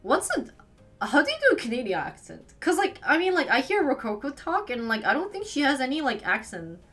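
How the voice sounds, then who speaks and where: A woman with a Wisconsin accent from the United States, chatting casually with viewers as a live streamer and firing quick questions back at them. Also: high-pitched, very animated, a bit bright. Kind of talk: casual chat answering viewers.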